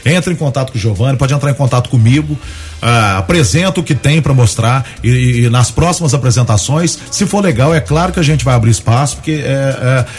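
A man guesting on a radio show, his voice thick with a cold. He talks haltingly, restarting his words often, at an ordinary pitch. Soft music plays faintly underneath. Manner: hesitant, many filler restarts. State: has a cold. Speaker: comedian guest. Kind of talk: radio studio interview.

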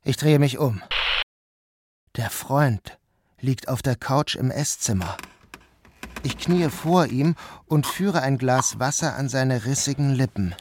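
A man giving calm, measured first-person narration of a literary story. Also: mid-range voice, a little hiss or hum. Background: music.

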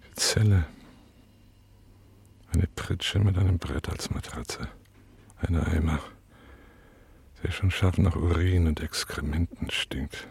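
Radio drama casting: a weary, detached prisoner after torture; extremely low, gravel-edged voice, fragmented delivery. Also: male voice, a little mellow, exaggerated voice. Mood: bleak, dazed. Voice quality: husky, breathy, gravelly. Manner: halting, fragmented, brooding inner monologue. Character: tortured male prisoner in a cell, numb, detached, bitterly weary. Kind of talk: literary radio play monologue.

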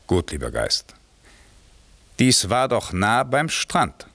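A man's voice: lowish voice, huge pitch swings, slow, creaky, a bit bright, no room sound, full character voice. A man playing a stern, imperious magician master who can turn benevolent, declaiming verse with authority in a German classical radio play. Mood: approving, questioning.

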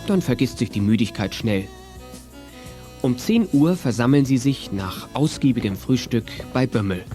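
A man's voice: medium pitch, lively intonation, moderate pace, dark tone, soft background music, natural voice. A man acting as narrator of a German children's detective audio play, telling the story in a scripted style. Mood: easygoing, storytelling.

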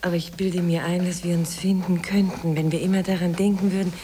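Low, tender young woman played by an actress. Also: little pitch movement, fairly quick, mellow, close to an everyday voice. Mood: tender, hopeful. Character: lonely, tender young woman, faithfully hopeful sweetheart. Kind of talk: 1950s German radio play acting. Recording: hissy old radio tape.

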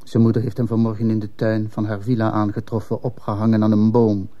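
An actor playing a stern, probing male police commissioner in a radio play, questioning in a formal, measured manner. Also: on the low side, completely flat delivery, muffled recording, close to an everyday voice. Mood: grave. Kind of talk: Dutch-language radio drama acting.

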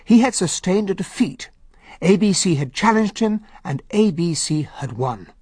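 An actor playing an earnest, loyal, well-bred English gentleman who narrates and talks as a detective's sidekick in a radio drama. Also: high voice, expressive, normal speed, husky, no echo, clean studio sound. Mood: reflective.

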